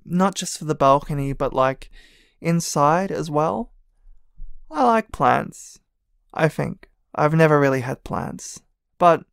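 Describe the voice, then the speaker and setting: A man voicing a sleepy, tipsy young man who leaves a gentle, drowsy voicemail thanking a friend, in an audio drama. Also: on the high side, clear, resonant tone, dry recording, studio quality.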